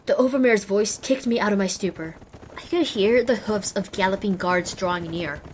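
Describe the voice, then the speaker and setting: A woman's voice: medium pitch, normal speed, slight background noise, close to an everyday voice, poor audio. A woman voicing a young, determined and wry female pony who narrates her own adventure in an audio drama. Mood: wounded, determined. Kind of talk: scripted first-person narration in audio drama.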